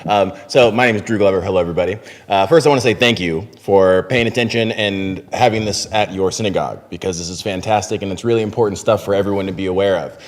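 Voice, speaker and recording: A man gives an informal speech as an activist, fluent and appreciative. He talks quickly in a lowish voice that echoes around a large hall. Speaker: activist speaker. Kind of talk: informal spontaneous public speech. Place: large synagogue hall, indoors. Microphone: podium microphone. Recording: reverberant hall sound.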